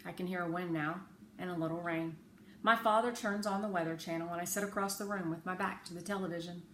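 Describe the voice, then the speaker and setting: A woman reads a children's story aloud with a bright, measured delivery. Her phrasing is clear, and the room adds an echo over faint background noise. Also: lowish voice, steady tone. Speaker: read-aloud narrator. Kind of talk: children's audiobook-style storytelling.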